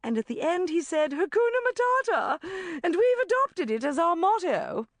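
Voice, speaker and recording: Gushing, breathless mum, middle-aged, pitched very high and swooping, put on by a woman reader. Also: very animated, normal speed, dry recording.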